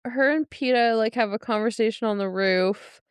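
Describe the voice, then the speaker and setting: A woman's voice: a little high, moderate pace, smooth, no room sound, studio quality. A woman co-hosting a podcast, talking casually and conversationally. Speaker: podcast co-host. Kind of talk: casual chat.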